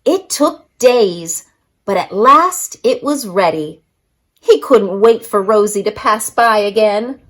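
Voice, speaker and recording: A woman's voice: mid-range voice, animated, slow pace, fairly bright tone. A woman reading a picture book aloud expressively for young children, as a story-time reader.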